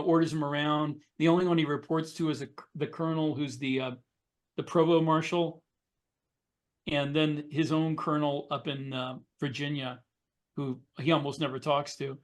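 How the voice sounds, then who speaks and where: Higher-voiced man, explaining casually. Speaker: podcast panelist. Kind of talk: casual discussion.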